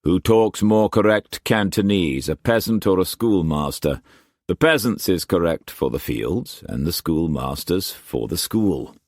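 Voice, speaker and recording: A steely merchant captain, read by a man, calm and cold. His voice sits deep and unhurried, every word measured. The recording is dry and close. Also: no room sound, full character voice.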